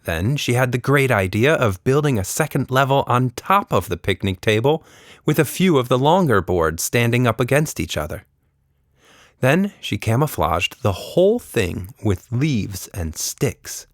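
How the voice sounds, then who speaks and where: A man's voice: medium pitch, very animated, measured pace, dry recording, clean studio sound. A man narrating a scripted children's story expressively, as a podcast storyteller.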